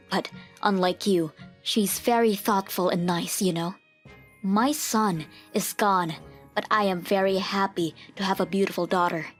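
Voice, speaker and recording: A woman playing a firm, principled mother in a manga dub, speaking in a stern, measured, matter-of-fact way. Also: medium pitch, close to an everyday voice. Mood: cold, firm. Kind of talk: manga dub dialogue and first-person narration. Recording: music behind the voice.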